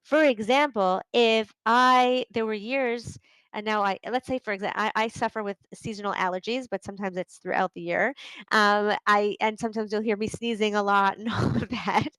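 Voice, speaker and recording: A woman's voice: medium pitch, steady tone, moderate pace, fairly bright tone, clear, resonant tone, no room sound, low-quality recording. A middle-aged woman hosting a solo podcast, talking earnestly and conversationally, often checking in with "right?". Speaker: podcast host and coach.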